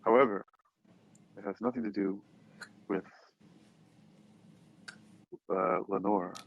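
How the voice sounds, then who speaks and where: A man's voice: lowish voice, steady tone, normal speed, vocal fry, very noisy. A man co-hosting a podcast, chatting casually in a rambling, musing way. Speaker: podcast co-host. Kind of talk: casual chat. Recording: dull, muffled lo-fi audio.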